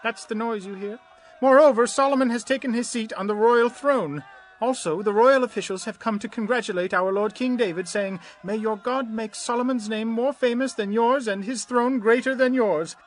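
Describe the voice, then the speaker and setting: An actor playing an urgent messenger with alarming news in a dramatized scripture reading, emphatic and declamatory. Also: male voice, extremely high pitch, normal speed, slight background noise. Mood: urgent, agitated.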